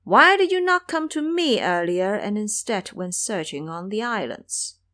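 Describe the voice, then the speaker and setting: A woman playing an authoritative female bodhisattva, composed and formal, admonishing in a measured way. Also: mid-range voice, animated, fairly bright tone. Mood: composed, explaining. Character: serene, authoritative female bodhisattva, sternly maternal.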